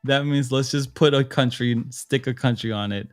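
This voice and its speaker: A man's voice: medium pitch, normal speed, clean tone. A man hosting a casual chat, laid-back and joking, easily amazed by what he is shown. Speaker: show host.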